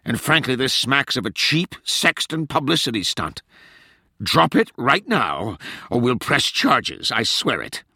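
A man voicing a domineering female senior presidential advisor, raspy, stern and cutting, in a dramatized audiobook. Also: mid-range voice, very animated, moderate pace, pushed and bright, dry recording, clean studio sound, voice pushed up. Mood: snapping, hostile.

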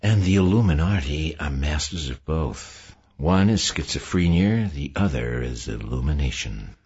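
A man reading aloud, putting on a calm, knowing woman guide who speaks in a very deep, hushed murmur. Her voice is breathy and soft, as if leaning close. The recording is muffled and lo-fi. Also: unhurried, full character voice. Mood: soothing.

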